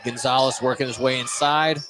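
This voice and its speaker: A man gives live basketball play-by-play in a playful way, often correcting himself. His pitch is mid-range, and clear gym noise with bouncing balls sits behind him. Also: fairly even intonation, brisk. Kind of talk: live sports commentary.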